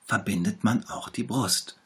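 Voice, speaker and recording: A man voicing a little sick male tiger cub who whimpers and makes demands in a high, strained voice, in a storybook reading. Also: unhurried, slightly rough. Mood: whiny, sickly.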